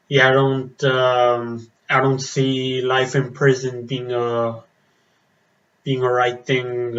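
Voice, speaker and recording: A man talks slowly through a casual reaction vlog, his voice slightly raspy from the cold. His pitch stays flat as he stops and restarts, filling gaps with ums and uhs. The recording sounds a little dull and muffled. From Spain. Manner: hesitant, many fillers and restarts. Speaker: metal vlogger. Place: outdoors in the cold.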